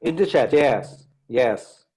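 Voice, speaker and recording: A man from Brazil with a Brazilian accent and Portuguese as his native language, giving an informal online tech presentation in a halting, repetitive way with "right?" tags. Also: medium pitch, lively intonation, mellow, slightly rough. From Minas Gerais, Brazil. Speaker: technical presenter and workshop instructor.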